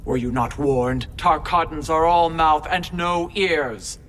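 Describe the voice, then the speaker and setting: An actress playing a stern, commanding warrior royal in a video game cut-scene, speaking formally and imperiously. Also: female voice, sits low, slow, slight background noise.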